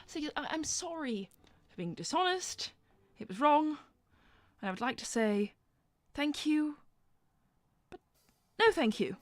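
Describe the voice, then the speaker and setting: A woman's voice: sits high, expressive, a little slow, pauses often, no echo. A woman playing a prim, proper and blunt young princess in an improvised in-character livestream. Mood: firm, polite. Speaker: co-host reading viewer messages.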